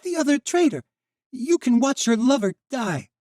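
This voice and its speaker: A man's voice: very high voice, sing-song, moderate pace, a little mellow, no room sound, studio quality, voice pushed up. A man voicing a wounded, desperate teenage boy who shrieks with a cracking voice. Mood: shrieking.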